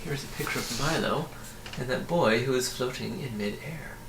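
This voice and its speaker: A man reading a children's story aloud in an expressive narrating style. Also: medium pitch, normal speed. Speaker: audiobook reader. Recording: roomy, slightly distant, faint hiss.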